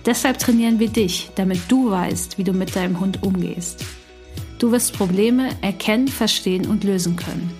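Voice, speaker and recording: A woman reading a scripted podcast intro in a measured, upbeat and inviting way. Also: mid-range voice. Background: soft background music.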